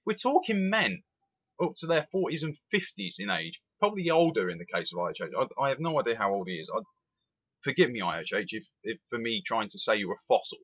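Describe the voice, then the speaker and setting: A man's voice: mid-range voice, fairly quick, breathy edge, highs cut off. A man, giving an opinionated, emphatic rant as a rail sim reviewer. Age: 20s. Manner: animated, emphatic, repeats itself.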